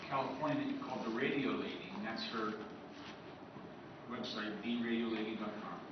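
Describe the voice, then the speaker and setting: A man giving an informal presentation to a live group in a halting, conversational way with asides. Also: fairly low pitch. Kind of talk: informal lecture to a live audience. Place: convention meeting room with live audience. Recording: echoey, noisy, dull room recording from far off.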